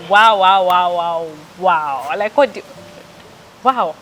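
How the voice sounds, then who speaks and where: Excitable woman co-host. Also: on the low side, slow pace, soft background music. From Kenya. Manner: excitable, exclaiming. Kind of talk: casual vlog chat.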